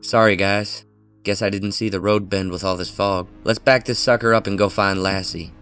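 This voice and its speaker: Man as easygoing young pledge, very deep voice. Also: steady tone, moderate pace, fairly bright tone, clear, resonant tone, soft background music. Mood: casual. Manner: casual, unbothered. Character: easygoing, skeptical young male fraternity pledge at the wheel. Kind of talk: acted dialogue in an audio horror drama.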